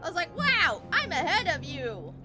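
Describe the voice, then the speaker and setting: A woman's voice: very high-pitched, wildly swooping pitch, moderate pace. A woman live-streaming a game, chatting casually in a rambling, conversational way. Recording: loud game music behind. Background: video game music.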